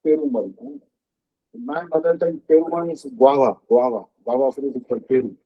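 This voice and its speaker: A man giving a devotional discourse as a spiritual teacher, halting often and checking in with the listeners. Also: mid-range voice, slightly rough. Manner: halting, with frequent "uh" and questioning "huh?". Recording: dull lo-fi recording.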